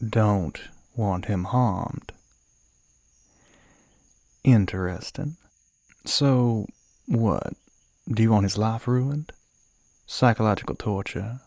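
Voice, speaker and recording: A man playing a suave, flirty crossroads demon in an ASMR roleplay, with a courtly, teasing Southern US drawl that often drops to a soft breathy murmur. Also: on the low side, fairly even intonation, takes it slowly, long pauses, no echo. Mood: amused, businesslike.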